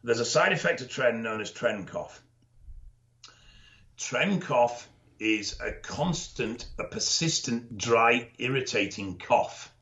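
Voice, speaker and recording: A man co-hosting a podcast, explaining things in a knowledgeable, measured way with dry humour and frequent ums. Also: medium pitch, animated, bright, forceful tone. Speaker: expert co-host. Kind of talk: casual podcast chat, explaining. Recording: dull lo-fi audio.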